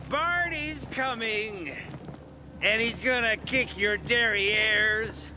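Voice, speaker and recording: A man's voice: high-pitched, background noise, full character voice. An actor playing a brash, hot-headed male brawler in a video game, boastful, mocking and theatrical. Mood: menacing, theatrical. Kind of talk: video game character acting.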